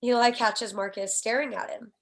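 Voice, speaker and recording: A woman's voice: on the high side, steady tone, normal speed, creaky. A woman reading stage directions as scripted narration at a screenplay table read.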